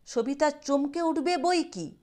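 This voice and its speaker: A woman reading a short story aloud in an expressive storytelling manner. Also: high voice, slow. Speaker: audio story narrator. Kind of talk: scripted dramatic narration of a Bengali short story.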